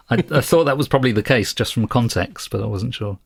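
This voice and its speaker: A man co-hosting a podcast in a casual, measured and thoughtful chatting style. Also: medium pitch, creaky, breathy edge, no echo, studio quality. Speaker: podcast co-host.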